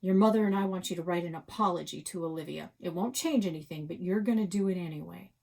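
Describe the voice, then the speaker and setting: A woman's voice: lowish voice, fast talker, gravelly, mellow. A woman voicing an exasperated father in a children's story, with a firm, emphatic manner. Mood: stern, exasperated. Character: stern, exasperated father scolding his young daughter. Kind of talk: children's novel read-aloud.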